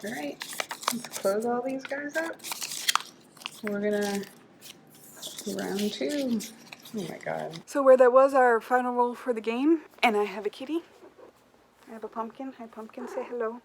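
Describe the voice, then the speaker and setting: A woman's voice: a little high, a little slow, long pauses, a little hiss or hum. A woman book vlogger chatting casually and informally to camera, thinking aloud as she goes. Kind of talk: casual chat to camera while playing a reading-list game.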